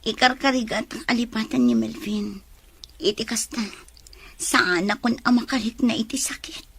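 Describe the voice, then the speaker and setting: A woman playing a hurt, withdrawn young woman in an audio drama, speaking in a breathy half-whisper. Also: on the high side, normal speed, a bit bright. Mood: sad, hushed. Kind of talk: Ilocano audio drama dialogue.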